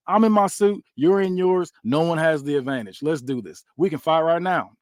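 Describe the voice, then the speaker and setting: A man's voice: a little high, moderate pace, no room sound, clean studio sound, natural voice. A man voicing a hot-headed male wrestler who issues aggressive challenges. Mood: menacing.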